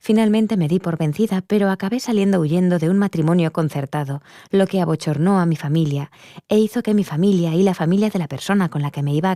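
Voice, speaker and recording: A woman's voice: lowish voice, dark tone, no echo, clean studio sound, close to an everyday voice. A woman voicing an earnest, reflective woman giving a personal testimony in a dubbed interview. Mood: reflective, candid. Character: earnest adult woman recounting her near-death experience.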